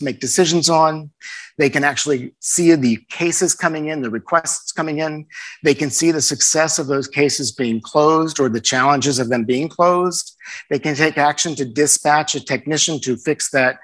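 A man giving a conference presentation into a microphone, making an upbeat sales-style pitch with everyday comparisons. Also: fairly bright tone. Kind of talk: conference talk. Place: conference session room. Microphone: handheld microphone.

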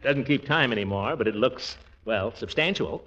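Pompous, wildly animated old-time radio uncle. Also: male voice, mid-range voice, moderate pace. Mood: self-important. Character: pompous, blustering middle-aged uncle, vain small-town big shot. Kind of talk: 1940s radio comedy acting. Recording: muffled vintage 1940s radio recording.

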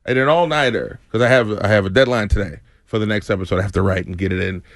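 A man from Boston, a comedian guest on a morning radio show, telling stories in an animated way and laughing often. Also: fairly low pitch, very fast, no echo, studio quality. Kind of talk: casual radio chat.

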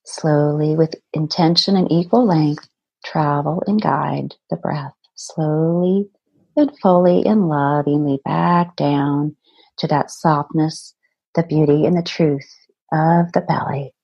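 A woman guiding a meditation in a gentle, soothing manner, with deliberate phrasing and pauses. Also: low voice, fairly even intonation, vocal fry, breathy edge, dull audio. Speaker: meditation guide. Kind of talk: guided meditation.

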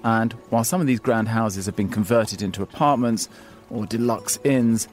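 A man reading his own scripted essay aloud in a measured, wry storytelling manner. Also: on the low side, faint music behind. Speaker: columnist reading his piece. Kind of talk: scripted narration.

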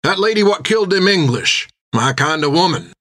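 Red-faced drinker in a seafaring audiobook, played by male narrator: gruff, rustic, raised pitch. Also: lively intonation, moderate pace, bright, forceful tone. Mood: admiring, tipsy.

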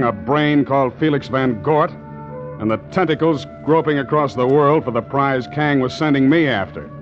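A man acts a hard-boiled ship's skipper with a lowish voice and a wry, secretive edge. It is vintage 1940s radio adventure acting, dulled by the old recording, with music clearly underneath. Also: highs cut off, close to an everyday voice. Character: tough, sardonic, secretive ship's skipper, ex-Marine.

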